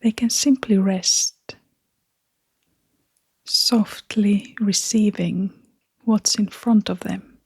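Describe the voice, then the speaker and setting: Female meditation guide: hushed and breathy, level intonation, unhurried short phrases with long gaps, very dry close recording. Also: mid-range voice, steady tone, no room sound. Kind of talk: guided meditation, soft-spoken.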